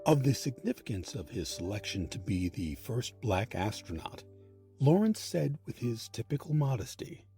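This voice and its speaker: A man's voice: mid-range voice, big pitch swings, moderate pace, dark tone, faint music behind. A man delivering scripted narration for a history documentary.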